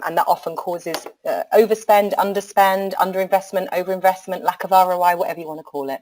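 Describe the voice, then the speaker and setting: A woman hosting a webinar, talking in a frank, lively and jokey way. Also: fairly low pitch, poor audio. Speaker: webinar host. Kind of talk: webinar presentation.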